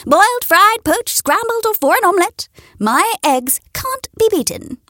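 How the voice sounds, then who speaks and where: A woman voicing a vain, boastful hen who cackles loudly and brags about her eggs and chicks. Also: very high-pitched, sing-song, measured pace, dry recording, pitched above the performer's own voice, full character voice.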